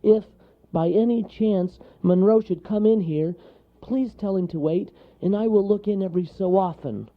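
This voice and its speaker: A man's voice: high-pitched, expressive, normal speed, gravelly, few pauses, narrow, tinny audio, pitched above the performer's own voice, exaggerated voice. A man voicing a small, anxious male woodland animal who speaks courteously and nervously in a children's story. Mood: polite, fearful.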